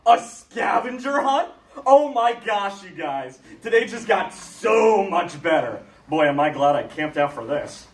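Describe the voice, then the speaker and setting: A man's voice: high voice, very animated, unhurried, distant mic. A man acting as a goofy, eager single father who gushes with over-the-top enthusiasm. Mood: excited. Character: goofy, eager dad, childishly excitable. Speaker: single father at a toy launch. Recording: echoey room, voice off-mic.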